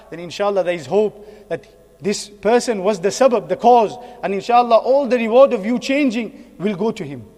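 High-pitched male preacher in an echoing hall. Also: darkish tone. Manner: earnest, exhorting with repeated phrases and rhetorical questions. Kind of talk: sermon. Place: mosque hall. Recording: long echoing hall reverb.

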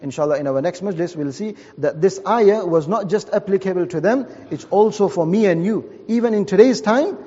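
Guy giving a sermon, kinda high voice, dull lo-fi sound. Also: brisk, hardly any pauses, dark tone, husky. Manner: storytelling with Arabic phrases. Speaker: Islamic preacher. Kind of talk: religious talk. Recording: lo-fi with long reverb.

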